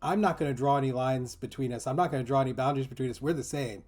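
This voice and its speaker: A man's voice: medium pitch, racing, a little mellow. A man talking in a casual, reflective discussion, explaining earnestly and often dropping in "you know" and "like". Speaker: teacher in a discussion group.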